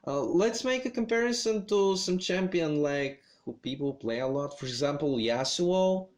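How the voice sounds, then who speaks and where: A man talking through his opinions on a video game character in a hesitant, measured way, with lots of pauses and restarts. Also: on the high side, lively intonation. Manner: hesitant, many fillers and restarts. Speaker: gaming video creator. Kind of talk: game commentary vlog.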